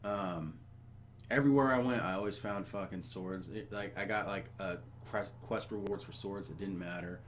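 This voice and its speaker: Male podcast host, fairly low voice, casual griping and swearing about games, sardonic, background noise. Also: moderate pace. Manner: blunt, sardonic complaining, heavy swearing. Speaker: video game podcast host.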